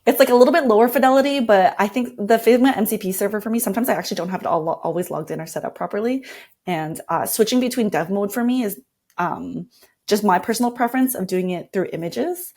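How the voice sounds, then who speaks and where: A woman's voice: mid-range voice, fast, darkish tone. A woman speaks as a guest designer demonstrating her workflow. She is casual and informal, thinking aloud while she explains each step of what she is doing. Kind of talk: casual screen-share walkthrough.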